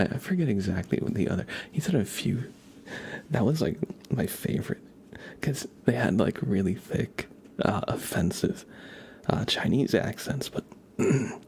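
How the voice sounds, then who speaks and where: A young man giving a soft, whispered ASMR tarot reading while chatting in a relaxed, rambling way with viewers. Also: lowish voice, gravelly, breathy edge.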